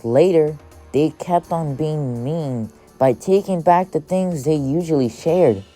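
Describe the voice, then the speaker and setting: A woman with a low voice reads a children's storybook at an unhurried, slow pace. She narrates as an audiobook reader, and music plays behind her. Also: mellow.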